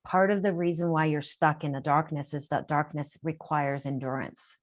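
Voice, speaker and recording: A woman with a low voice gives a caller advice. She explains at length and often restarts her phrases. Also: steady tone, normal speed. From Japan. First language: Japanese. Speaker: healer answering a caller. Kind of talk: live group call, casual guidance.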